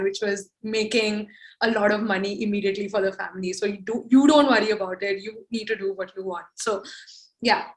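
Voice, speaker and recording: Soft-spoken young woman musician. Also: fairly high pitch, poor audio. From South India. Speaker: musician guest. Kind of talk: casual interview chat.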